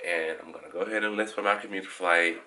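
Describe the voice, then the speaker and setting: A man's voice: deep voice, fairly even intonation, fast. A young man, a flight attendant, chatting casually and joking at his own expense in a travel vlog. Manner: chatty, self-deprecating, joking. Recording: loud background noise from travel ambience.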